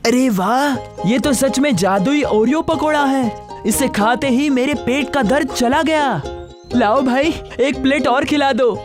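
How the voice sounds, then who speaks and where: A woman voices a skeptical village man in a cartoon story. The voice is very high, plaintive and exclamatory, over faint music. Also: measured pace. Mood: delighted. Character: ailing, skeptical male village customer.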